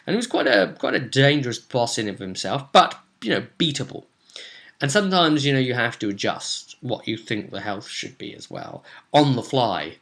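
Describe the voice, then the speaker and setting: A young British man talks casually as a tabletop game master at home. His voice sits at an ordinary middle pitch. He rambles and halts, thinking aloud as he goes. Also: big pitch swings, moderate pace, gravelly, a bit bright. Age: young adult. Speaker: game master, host of a role-play vidcast. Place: indoors, small room at home.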